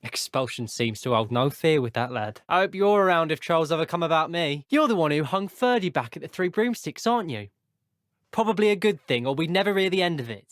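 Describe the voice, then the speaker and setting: A man's voice: a little high, animated, fairly quick, a bit bright, dry recording, clean studio sound. A man voicing an earnest, chatty teenage wizard schoolboy in a video game, with a British accent and a polite, wry, old-fashioned turn of phrase. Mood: friendly, teasing. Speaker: voice actor. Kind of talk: scripted video game character voice clips.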